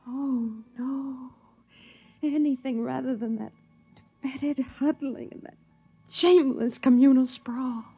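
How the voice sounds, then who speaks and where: An actress playing a weary, frail young wife in a 1950s radio drama, with a brooding, theatrical delivery. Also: female voice, high voice, steady tone, takes it slowly, dark tone, husky, full character voice. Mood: pleading, bitter. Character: weary, frail, submissive young wife in post-nuclear shelter. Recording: muffled vintage radio recording with music.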